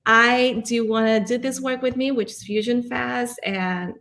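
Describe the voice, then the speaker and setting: A woman's voice: on the high side, steady tone, a little slow, a bit bright. A woman in her forties from Brazil with a Brazilian accent, answering interview questions in a candid, reflective, conversational way. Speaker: interview guest, arts nonprofit director.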